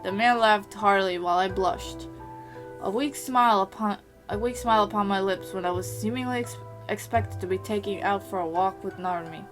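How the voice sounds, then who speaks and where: Amateur woman reader, mid pitch, steady narration with slips, faint music bed. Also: fairly quick. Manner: reads steadily with small slips and restarts. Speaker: amateur voice actor. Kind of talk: first-person visual novel narration.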